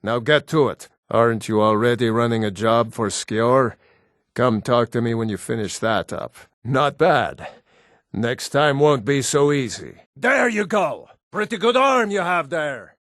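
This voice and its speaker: A man's voice: mid-range voice, a bit bright, no echo, clean studio sound. A man voicing a proud, stern Nord warrior for a fantasy video game, with a solemn, commanding and terse delivery. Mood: brusque, testing, then taunting, combative. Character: gruff proud Nord warrior, stern battle-hardened brother-in-arms.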